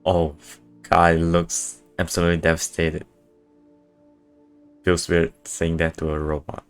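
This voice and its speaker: A man's voice: low-pitched, completely flat delivery, unhurried, faint music behind. A man giving casual, offhand commentary in short reactions as he streams a video game. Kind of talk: casual live playthrough commentary.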